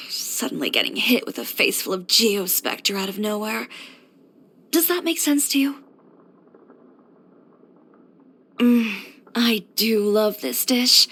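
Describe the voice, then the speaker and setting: A woman's voice: mid-range voice, fairly bright tone. A young woman voicing a confident, blunt fashion designer and boutique owner in a scripted video game, with a poised, direct delivery. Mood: casual, pleased. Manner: self-assured, direct, poised with dry sighs.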